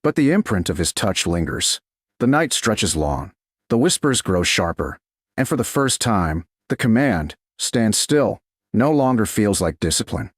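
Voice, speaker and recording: A man narrating a scripted romance story in a measured, deliberate way, with short dramatic phrases. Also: fairly low pitch, very animated, dry recording, clean studio sound.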